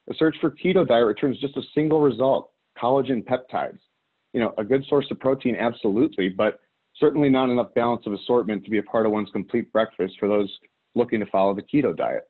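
A man from the Chicago area reads a prepared webinar presentation in a measured, emphatic way, ticking off examples one by one. His voice sits at a middling pitch. The sound is narrow and tinny, as if heard over a phone line. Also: husky. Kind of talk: scripted business presentation. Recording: phone-like narrow band audio.

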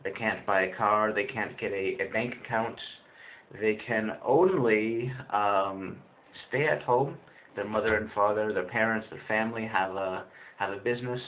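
A man's voice: on the low side, moderate pace. A young man in his twenties talking to his webcam in a small room, rambling with lots of fillers and restarts. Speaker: vlogger, English teacher in China. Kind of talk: casual webcam vlog. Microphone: webcam built-in mic, close. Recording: tinny lo-fi webcam audio with faint hiss.

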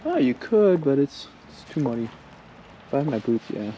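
A man's voice: medium pitch, big pitch swings, normal speed, dark tone, highs cut off. Easygoing man vlogging outdoors. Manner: easygoing, shrugs off setbacks, talks to viewers. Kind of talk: casual walk-and-talk vlog narration. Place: outdoors by a lake and trails. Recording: outdoor recording with clear background noise.

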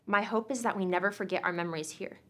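A woman's voice: mid-range voice, fairly bright tone. Young woman giving an earnest graduation speech. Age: young adult. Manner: earnest, measured, reflective. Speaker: student commencement speaker.

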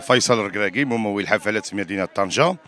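A middle-aged man speaks in an outdoor street interview. His voice is forceful and sharp, at an average pitch, and he moves along quickly. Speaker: interviewee, event caterer. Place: open square outdoors, crowd behind. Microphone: handheld reporter microphone.

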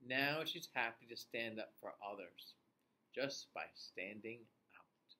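A middle-aged man reading a picture book aloud to young children, expressively, with questions and pauses for them to answer. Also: mid-range voice, sharp tone. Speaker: children's class host and teacher reading aloud. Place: small indoor room.

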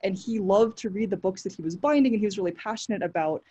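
A woman's voice: medium pitch, mellow, breathy edge. A young woman with an American accent, answering interview questions on a video call, eager and full of fillers and restarts. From New Zealand. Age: young adult. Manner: fast, fillers and restarts, enthusiastic. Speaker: guest. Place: home room, indoors.

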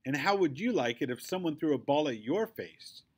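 A man acts out a chatty, indignant little girl from a children's book. The delivery is excitable and emphatic, with lifted, very animated pitch. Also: normal speed, breathy edge. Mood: defiant.

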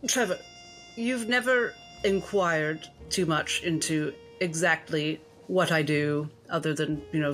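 A woman voicing a shrewd, genteel female doctor with an arch, measured, commanding manner. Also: sits low, a bit bright.

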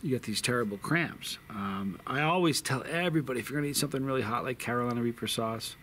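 A man's voice: medium pitch, quick pace, fairly bright tone, slight background noise. A middle-aged man hosting his own hot sauce channel, talking casually to the camera from a sunny backyard, with many restarts and fillers. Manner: rambling, frequent restarts and fillers. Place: sunny backyard patio outdoors. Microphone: camera in front, seated close.

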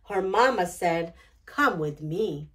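A middle-aged woman hosting storytime, reading a picture book aloud in an expressive, deliberate way. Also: lowish voice. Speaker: children's storytime host. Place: small decorated indoor storytime set.

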